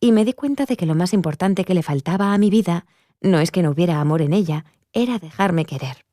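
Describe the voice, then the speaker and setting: A woman's voice: lowish voice, fairly quick, dark tone, no room sound, clean studio sound, close to an everyday voice. A woman voicing an earnest, reflective woman giving a personal testimony in a dubbed interview. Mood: reflective, candid. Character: earnest adult woman recounting her near-death experience.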